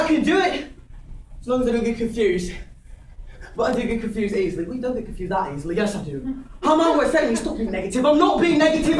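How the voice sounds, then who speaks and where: A man performing a comic stage monologue as a frantic man who shouts and bickers with himself, switching between two sides. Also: very high voice, voice pushed up. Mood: flustered, squabbling. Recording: roomy, distant microphone, faint noise.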